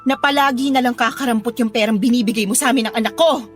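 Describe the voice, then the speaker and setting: A woman's voice: fairly high pitch, fairly even intonation, fast talker, close to an everyday voice. A woman playing an aggrieved, suspicious young wife and mother in a Filipino radio drama, tearful and accusing, with a Filipino accent. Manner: tearful, accusing, emotional delivery. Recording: music playing behind the voice.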